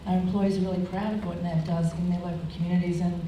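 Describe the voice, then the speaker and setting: A woman executive speaks in measured, corporate phrasing with a mellow, slightly low voice. She is picked up from a distance in a very echoey room. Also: little pitch movement, a little hiss or hum. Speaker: bank executive on a panel. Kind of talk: panel discussion answers. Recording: echoey hall, distant mic.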